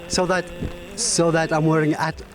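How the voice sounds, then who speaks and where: A man from Pakistan and Iraq who does not speak English as a first language, answering a host's questions as a competition contestant in an earnest, polite, halting way. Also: fairly high pitch, level tone, low-quality recording.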